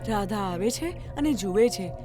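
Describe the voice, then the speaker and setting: A woman's voice: mid-range voice, expressive, measured pace. A woman narrating a scripted children's story as its storyteller. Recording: music bed behind narration.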